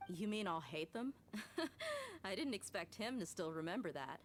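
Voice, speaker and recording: An actress playing a tough, proud young desert mercenary in a video game, speaking in a breezy, self-assured way. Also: female voice, medium pitch, wildly swooping pitch, moderate pace, noisy background. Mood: reflective.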